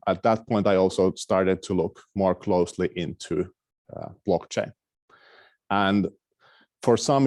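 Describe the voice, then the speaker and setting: A middle-aged Finnish-accented man gives an academic lecture over a video call. His voice sits low, and the sound is close and dry. He speaks hesitantly, often restarting his phrases. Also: darkish tone, dry recording. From Finland. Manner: hesitant, many fillers and restarts. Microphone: video call microphone.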